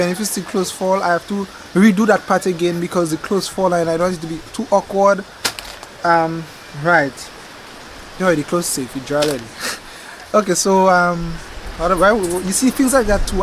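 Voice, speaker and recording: A man's voice: high-pitched, slight background noise, poor audio. Chatty man gardening outdoors. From Dominica. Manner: relaxed and chatty, self-correcting, laughs at his slips. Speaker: gardening channel host. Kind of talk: casual rambling chat to camera. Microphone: camcorder microphone.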